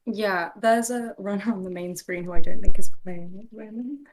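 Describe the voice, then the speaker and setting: A woman's voice: medium pitch, moderate pace, crowd noise. A woman co-commentating on a livestreamed tournament in a casual, conversational style. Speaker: esports co-commentator.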